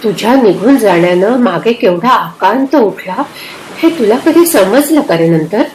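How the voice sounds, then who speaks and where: A woman's voice: medium pitch, animated, unhurried, darkish tone, a little hiss or hum, close to an everyday voice, low-quality recording. A woman voicing an ageing, wistful woman in a dramatic story, full of emotive questioning. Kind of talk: dramatic literary story reading.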